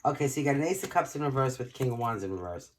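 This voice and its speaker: Hoarse New tarot reader. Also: medium pitch, lively intonation, racing. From Brooklyn, New York. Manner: chatty, informal, talks straight to the viewer. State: nearly out of voice, hoarse. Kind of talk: casual tarot reading for viewers. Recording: loud background noise with clicks.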